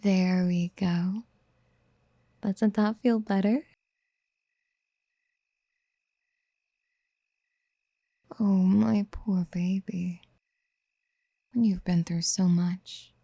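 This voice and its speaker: Actress for a clingy, doting yandere mommy in ASMR audio: slow, hushed and breathy, close and dry, gently coaxing. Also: female voice, mid-range voice, fairly even intonation, dry recording. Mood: tender, adoring. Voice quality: soft, breathy, often near a whisper. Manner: tender, coaxing, hesitant pet-name murmuring. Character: doting, obsessive yandere woman wanting to mother the listener. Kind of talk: soft-spoken ASMR roleplay. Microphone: close microphone in a dry, quiet space.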